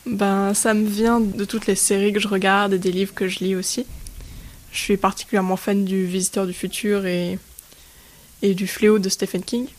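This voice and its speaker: A woman's voice: medium pitch, level tone, moderate pace. A woman, a guest digital artist, answering radio interview questions casually.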